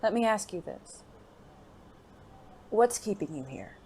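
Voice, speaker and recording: A performer playing a gentle, refined gentleman companion in an audio drama, speaking formally, earnestly and in a measured way. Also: mid-range voice, animated. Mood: concerned, probing. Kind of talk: intimate audio drama dialogue. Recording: faint noisy background ambience.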